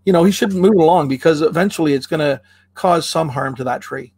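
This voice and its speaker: Man from canada, fairly high voice, dry close sound, casual, lots of ums and restarts. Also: brisk, slightly rough, dry recording. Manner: relaxed, many fillers and restarts, jokey comparisons. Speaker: tree care expert guest. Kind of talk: casual educational chat.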